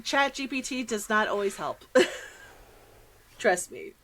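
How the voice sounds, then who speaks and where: A woman's voice: fairly high pitch, sing-song, slow pace, fairly bright tone. American woman podcast host, middle aged, takes her time, slangy, acts out little reactions. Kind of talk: casual podcast chat.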